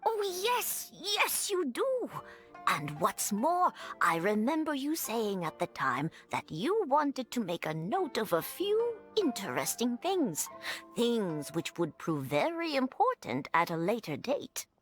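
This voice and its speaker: Helpful well-mannered lady, formal, assured, animated melody, game dialogue over light music. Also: a little high, slow pace, full character voice. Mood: helpful, insistent. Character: polite, helpful village woman. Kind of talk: English video game voice acting.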